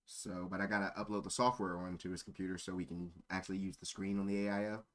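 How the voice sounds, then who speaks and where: A man's voice: deep voice, level tone, fast, fairly bright tone. A man streaming casual chat in a rambling, easily sidetracked way. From born in Germany. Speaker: live streamer.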